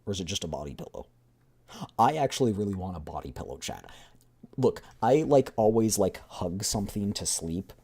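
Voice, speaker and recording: A streamer chatting casually in a rambling, repetitive, excitable way while doing a voiced readthrough of a game story. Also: sits low, moderate pace, husky.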